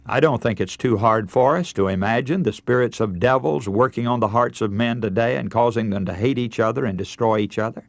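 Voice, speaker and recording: A man's voice: mid-range voice, a little fast, vocal fry, few pauses, no room sound. A middle-aged man preaching a radio sermon in an earnest, emphatic, oratorical manner. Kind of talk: radio sermon with scripture readings.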